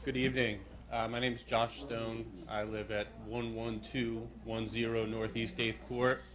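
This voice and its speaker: A man's voice: on the low side, flat delivery, a little slow, low-quality recording. Earnest young man at public comment. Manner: earnest, heartfelt. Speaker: resident speaking at public comment.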